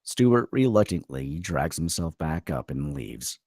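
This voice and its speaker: A man with an extremely deep voice narrates screenplay stage directions. His delivery is dramatic, with pitch that swings widely, and the sound is dry and close. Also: big pitch swings, measured pace, darkish tone, dry recording.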